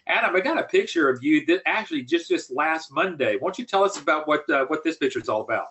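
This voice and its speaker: A man's voice: a little high, big pitch swings, fast talker, creaky, bright, forceful tone. A man hosting a business webinar in an informal, folksy way, often rephrasing himself as he presents. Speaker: webinar host, business coach.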